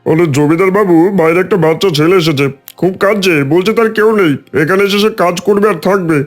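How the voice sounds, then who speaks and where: Excitable doorman, played by a man: high male voice, rapid, deferential, animated-story style. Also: soft background music, close to an everyday voice. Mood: flustered. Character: excitable male gatekeeper reporting to his master. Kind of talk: Bengali animated story voice acting.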